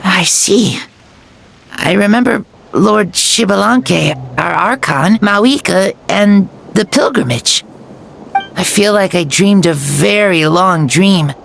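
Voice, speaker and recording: A woman's voice: mid-range voice, expressive, takes it slowly, creaky, a little hiss or hum. An actress voicing a frail young boy, hesitant and stammering with wonder. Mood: dazed. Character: frail young boy, awed after a tournament. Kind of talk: video game voice acting.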